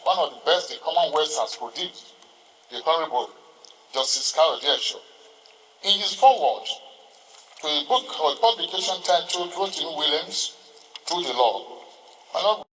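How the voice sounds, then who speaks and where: A man with a Nigerian accent giving a formal courtroom address as a senior lawyer, speaking in a deliberate, halting, oratorical way. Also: fairly high pitch, animated, a bit bright. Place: large hall, ceremonial court session. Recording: echoey hall, distant microphone.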